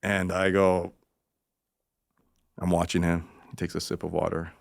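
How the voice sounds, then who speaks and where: A man with a fairly low voice, a podcast guest from Los Angeles, spins loose, profane stories. He circles back on himself with many restarts and slips into quoted voices as he talks. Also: monotone, a little slow, gravelly. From Los Angeles, Koreatown. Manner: profane, rambling, with frequent restarts. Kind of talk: casual storytelling.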